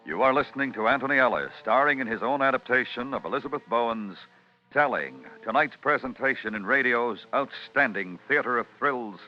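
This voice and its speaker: A man's voice: medium pitch, animated, brisk. Grand male announcer, old muffled radio. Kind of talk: formal 1950s radio announcing. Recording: muffled vintage radio, music behind.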